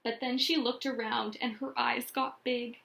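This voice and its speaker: A woman teacher reading a children's storybook aloud with expressive, deliberate, dramatic phrasing. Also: on the high side, vocal fry.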